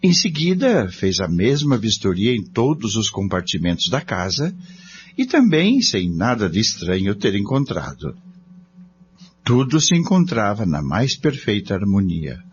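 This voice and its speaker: A man's voice: lowish voice, sing-song, unhurried, slight background noise. A man narrating a radio serial from a script in a dramatic storytelling style. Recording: dull recording with music.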